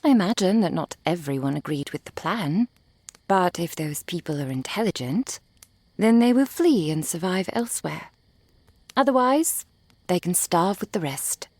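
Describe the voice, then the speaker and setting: Woman playing a refined merchant, low dry voice, formal measured diction, composed and cold-hearted, ASMR audio drama. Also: expressive, darkish tone, no echo. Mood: cool, matter-of-fact.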